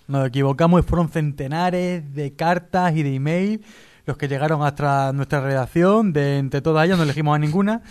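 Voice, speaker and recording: A man's voice: fairly high pitch, moderate pace, a little mellow, clear, resonant tone. A man co-hosting a comic radio chat, joking along in a mock-serious way. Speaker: radio show co-host.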